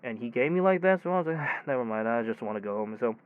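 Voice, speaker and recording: A man playing a weary, exasperated technician who tells his bizarre tale in a rambling, halting, conversational audio drama monologue. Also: mid-range voice, clean tone, dry recording, narrow, tinny audio, close to an everyday voice. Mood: tired, subdued. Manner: rambling, halting, animated storytelling with sighs. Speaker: voice actor in a self-written audio drama.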